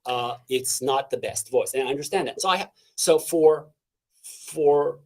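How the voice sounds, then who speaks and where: Energetic high-voiced man, halting restarts. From Canada. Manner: animated, halting with many restarts and fillers. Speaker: guest speaker. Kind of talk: casual interview talk.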